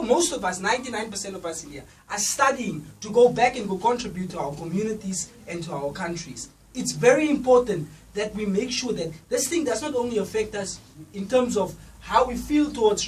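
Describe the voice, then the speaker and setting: A young man, a student, giving a loud, rousing speech at an event. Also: high voice. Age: young adult. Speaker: student association speaker. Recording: distant mic, faint noise.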